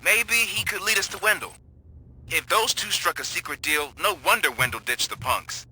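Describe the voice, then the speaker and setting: Excitable, very bright-voiced police colleague. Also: male voice, sits high, normal speed, breathy edge, exaggerated voice, low-quality recording. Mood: eager. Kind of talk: video game voice acting.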